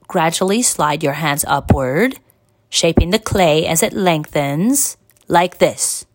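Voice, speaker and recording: Woman as a patient master potter, measured delivery. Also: low-pitched, lively intonation, dry recording, heavily acted. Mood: instructing. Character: patient, encouraging male master potter and teacher.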